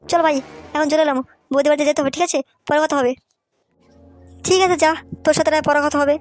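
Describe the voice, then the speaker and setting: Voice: high voice, fairly even intonation, quick pace, lightly acted. A performer voicing brash, foul-mouthed young village men in a comic cartoon, in crude slangy banter over music. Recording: music behind the voice.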